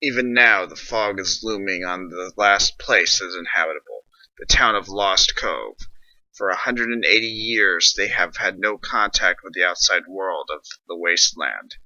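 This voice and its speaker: A man's voice: low voice, normal speed, bright, forceful tone, no room sound. A man reading dramatic scripted story narration in a portentous manner. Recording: dull lo-fi dry recording.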